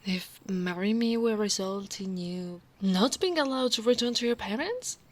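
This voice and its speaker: An Italian woman playing a tall, devoted female orc royal guard in an ASMR roleplay, speaking softly and intimately as if to the one listener she loves. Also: medium pitch, lively intonation, lightly acted. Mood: earnest, hopeful. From Italy. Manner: slow, intimate, often dropping to a whisper.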